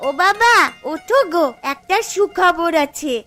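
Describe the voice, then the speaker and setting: A woman voicing an eager little girl in a cartoon, calling out in a high, pleading voice. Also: takes it slowly, fairly bright tone, faint music behind, exaggerated voice. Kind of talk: cartoon voice acting.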